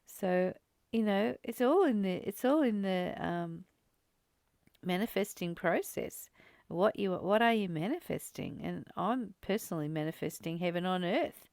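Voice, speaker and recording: A woman's voice: on the low side, a little slow, creaky, a little mellow, no echo, studio quality. A woman leading a casual, reflective spiritual discussion in a conversational way, repeating phrases for emphasis. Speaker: spiritual study host.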